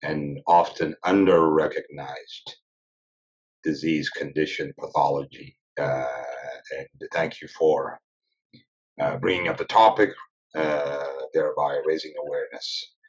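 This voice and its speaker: A man, a physician answering interview questions in a measured, deliberate way with frequent pauses. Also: extremely low pitch, fairly even intonation. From Ethiopia. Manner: measured, deliberate, often pausing mid-sentence. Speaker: sleep medicine physician guest. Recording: dull lo-fi recording.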